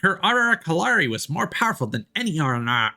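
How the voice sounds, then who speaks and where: A man voicing a gentle forest spirit in a video game, reverently telling old lore. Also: fairly high pitch, very animated, quick pace, vocal fry, full character voice. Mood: reverent storytelling. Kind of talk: reading game lore in character.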